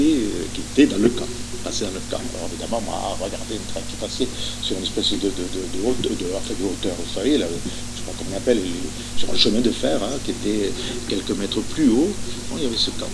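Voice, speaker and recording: A man's voice: fairly low pitch, gravelly. An older man from Spain giving a hesitant, reminiscing talk full of fillers and restarts, telling stories from his childhood. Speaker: guest speaker, former academic and author. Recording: noticeable background noise and hum.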